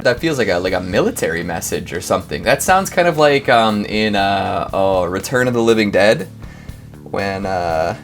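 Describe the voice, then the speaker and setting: Low-voiced man doing animated, rambling commentary. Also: moderate pace, music in the background. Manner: animated, rambling, wry, with sudden exclamations. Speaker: host reacting to scary clips. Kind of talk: casual comedic commentary.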